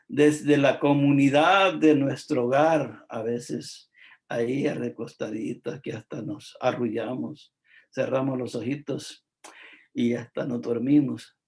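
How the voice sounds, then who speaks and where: A man from El Salvador, preaching a devotional sermon in a slow, emphatic, repetitive way, with frequent filler words. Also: medium pitch, vocal fry, a little mellow.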